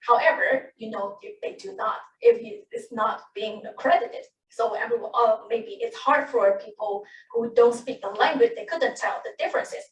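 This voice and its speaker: A woman's voice: a little high, animated, normal speed. A woman in her thirties, an English speaker, telling the story of how she became a medical interpreter at a panel, with many restarts and self-corrections. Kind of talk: personal account at a panel. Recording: echoey room, distant mic, faint noise.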